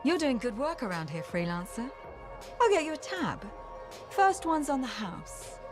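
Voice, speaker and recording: Shrewd, streetwise bar owner. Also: female voice, sits high, sing-song, a little slow. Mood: matter-of-fact, shrewd. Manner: casual, worldly, clipped phrasing. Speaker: video game character actor. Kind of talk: video game dialogue acting. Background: music.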